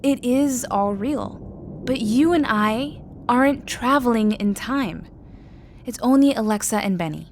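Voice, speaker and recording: A woman's voice: fairly high pitch, animated, measured pace, slight background noise. An actress playing an adult woman who runs a comic store and guides younger friends, in an audio drama. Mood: explaining. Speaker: store owner.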